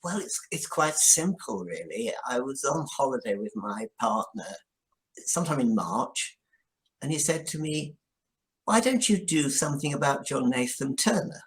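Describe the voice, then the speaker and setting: A man's voice: medium pitch, big pitch swings, moderate pace. Male writer in interview, hesitant delivery. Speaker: guest, scriptwriter. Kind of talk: interview answer, conversational storytelling.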